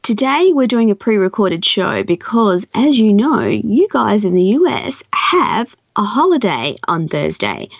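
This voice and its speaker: Croaky-voiced woman radio host. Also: mid-range voice, big pitch swings, unhurried, few pauses, dry recording, dull audio. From Australia. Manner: chatty, reads facts aloud steadily. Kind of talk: hosting and reading a history piece. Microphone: studio microphone.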